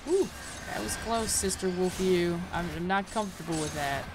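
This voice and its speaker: A woman's voice: on the low side, moderate pace, noisy background. A woman giving casual let's-play commentary as a gaming host, rambling and easily sidetracked.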